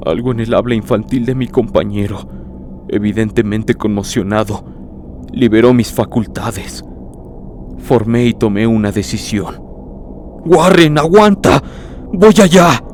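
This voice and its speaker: A man narrating a horror story in the first person, in a grave, literary, dramatic manner with deliberate phrasing. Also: on the low side, steady tone, warm tone, slight background noise. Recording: prominent music behind the voice.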